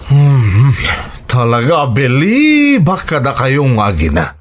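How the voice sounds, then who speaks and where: A man's voice: medium pitch, wildly swooping pitch, takes it slowly. An actor with an Ilocano Filipino accent playing a dismissive, skeptical middle-aged father and husband in a radio drama. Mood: breezy.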